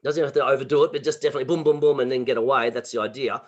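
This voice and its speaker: An Australian man, a martial arts instructor, telling stories in a relaxed interview over a video call, full of sound effects and restarts. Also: mid-range voice, very fast, muffled recording. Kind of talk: casual interview storytelling.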